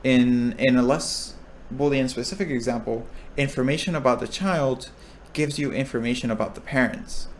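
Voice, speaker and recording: A man's voice: mid-range voice, normal speed, few pauses, slight background noise. A young man explaining a probability lesson, thinking aloud with restarts and checking in with his listeners. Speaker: recitation instructor. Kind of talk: explaining a lecture topic.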